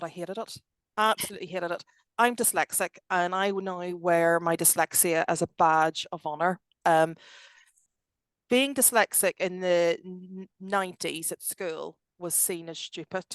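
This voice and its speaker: A woman's voice: fairly low pitch, clear, resonant tone, no echo. A woman in her forties from Northern Ireland with a Belfast accent, an interview guest telling candid stories in a chatty, self-deprecating way. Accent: Belfast, Northern Irish. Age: 40s. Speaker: interview guest, tech director. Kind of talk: casual, candid storytelling.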